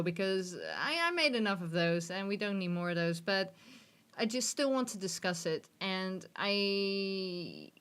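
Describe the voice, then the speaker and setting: A woman's voice: on the low side, fairly bright tone, smooth. A woman in her thirties talking openly and earnestly to the camera in a personal vlog, seated in a gaming chair in a dim room, with self-deprecating laughs and false starts. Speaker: vlogger.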